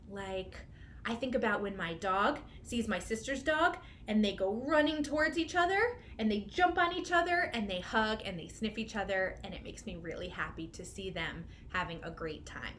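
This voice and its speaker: A woman hosting a children's storytime as a librarian, giving simple, encouraging instructions to young children. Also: mid-range voice. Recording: clearly noisy room recording.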